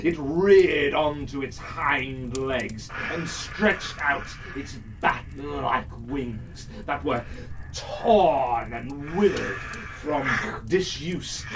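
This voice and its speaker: Slow, theatrical male storyteller. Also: mid-range voice, fairly bright tone. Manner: theatrical, drawn-out delivery with suspenseful pauses and big swells. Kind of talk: dramatic fairytale narration for children. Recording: distant mic, faint background noise.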